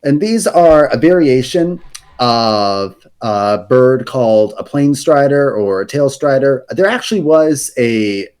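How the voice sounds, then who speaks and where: A man's voice: medium pitch, animated, slow, a little mellow, clear, resonant tone. Man explaining game lore, rambling. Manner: rambling, self-correcting, hesitant fillers. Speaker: lore guest on a card game podcast. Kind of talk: casual explanatory chat.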